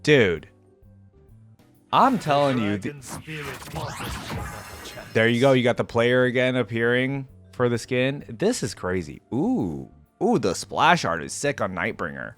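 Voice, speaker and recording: A man's voice: mid-range voice, big pitch swings, a little slow, long pauses, fairly bright tone, faint music behind. A man giving casual reaction commentary in an informal, slangy gamer way. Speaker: reaction video host.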